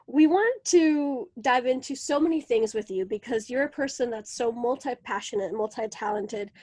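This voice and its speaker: A young woman from Venezuela co-hosts a casual podcast interview. Her voice sits a little high, and she talks in a chatty, rambling way with frequent giggles. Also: moderate pace, a little mellow. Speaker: podcast co-host.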